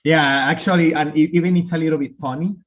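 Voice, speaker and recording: A man's voice: a little high, steady tone, quick pace. A man from southern Mexico in his thirties with a strong Mexican accent, a Spanish speaker talking casually as a guest on a podcast interview. He is friendly and upbeat, and he repeats words while he searches for English phrasing. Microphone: remote video call.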